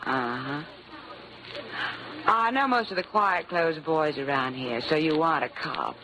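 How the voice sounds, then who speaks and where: A woman's voice: low voice, animated, unhurried. Cool flirtatious noir nightclub woman. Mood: cool, coy. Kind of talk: 1940s radio noir acting. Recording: noisy old 1940s radio recording.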